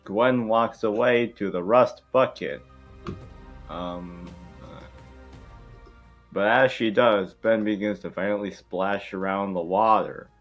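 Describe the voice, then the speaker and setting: A man's voice: fairly low pitch, slow, dull audio, faint music behind. A man hosting and narrating an amateur table read, informal and sometimes stumbling over the script. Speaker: host and narrator.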